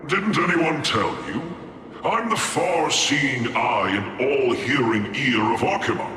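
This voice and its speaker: A man's voice: medium pitch, expressive, takes it slowly, pushed and bright, a little hiss or hum. A man voicing a boastful, gossipy talking lion head in a video game, theatrical, grandiose and wheedling. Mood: grand, jovial. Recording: echoey hall reverb, music underneath.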